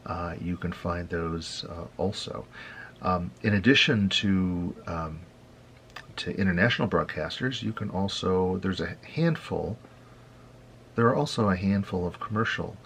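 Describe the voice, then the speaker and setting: A low-pitched American man presents an informal explainer in a conversational, slightly halting style. His delivery has a wry edge. Some background noise sits faintly behind the deep voice. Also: gravelly, a bit bright. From United States. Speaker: host of a hobby radio tutorial.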